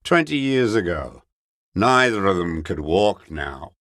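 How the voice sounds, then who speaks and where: Game role: aged revolutionary holdout, deep-voiced, very slow delivery, scornful, weary and unrepentant. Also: male voice, big pitch swings, a bit bright, dry recording, clean studio sound, heavily acted. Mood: bitter recounting. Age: older. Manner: contemptuous, ideological, slow and weary. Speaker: video game character actor. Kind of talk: dramatic game voice acting, bitter monologue.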